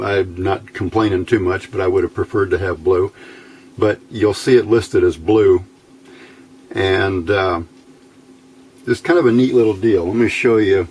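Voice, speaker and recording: A man's voice: low voice, measured pace, darkish tone, slightly rough. A man giving a casual hands-on demonstration as an electronics hobbyist, talking in a folksy, informal, rambling way.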